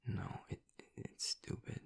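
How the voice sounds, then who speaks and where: A man playing a guarded, vulnerable, grieving werewolf boyfriend in an intimate audio roleplay, speaking in a halting, breathy, gravelly whisper. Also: darkish tone, natural voice. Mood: guarded, reluctant. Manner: halting, reluctant, haltingly confessional.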